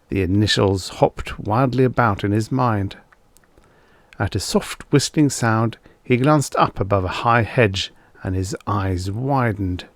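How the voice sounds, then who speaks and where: A man reading a story aloud as an audiobook narrator, in a measured, deliberate manner. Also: lowish voice, no echo.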